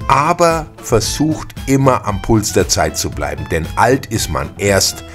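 A middle-aged man with a husky voice hosts a podcast monologue, chatting informally and giving listeners direct advice. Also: fairly low pitch, very animated, measured pace, soft background music. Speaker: podcast host. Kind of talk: casual spoken podcast monologue addressed to listeners.

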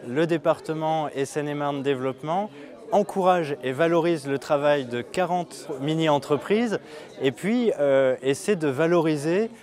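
Man, thirties, slightly high voice, formal interview remarks, hesitant delivery. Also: moderate pace, darkish tone. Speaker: president of regional development agency. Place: large lecture hall, emptied.

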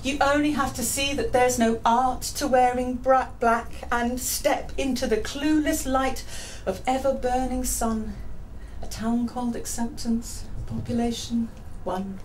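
An English woman you would hear at a small pub poetry night, high-voiced and unhurried at the microphone, faint room noise behind her. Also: steady tone, rough recording. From England. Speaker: poet. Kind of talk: live poetry reading. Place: small dim pub room with seated audience. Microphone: handheld-type microphone on a stand. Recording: live venue microphone with background noise.

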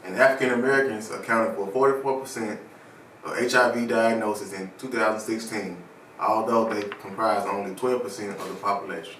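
A man's voice: lowish voice, steady tone, fairly quick, a bit bright. A young adult man, an actor giving a rehearsed appeal for a stage play. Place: indoor living room. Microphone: distant camera microphone. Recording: roomy, distant, noticeable background noise.

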